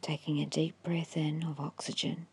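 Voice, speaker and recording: A woman giving an ASMR guided hypnosis in a hushed, breathy whisper, slowly and soothingly instructing with long pauses. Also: deep voice, level tone. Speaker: hypnotist guiding a meditation.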